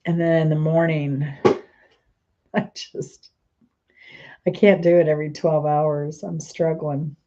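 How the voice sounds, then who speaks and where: A woman's voice: low-pitched, level tone, slow, pauses often, mellow, highs cut off. A woman host chatting casually and rambling, trailing off mid-sentence, sounding stuffy and congested. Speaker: channel host. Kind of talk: casual rambling chat to camera.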